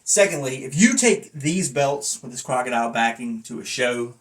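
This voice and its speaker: A man with a middle-pitched voice gives an informal review as a hobbyist vlogger. He rambles conversationally and speaks bluntly. His manner is self-deprecating and unpolished, like someone talking off the cuff. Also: animated, moderate pace. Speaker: vlogger and wrestling belt collector reviewing a belt. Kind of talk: casual, rambling review talk to camera.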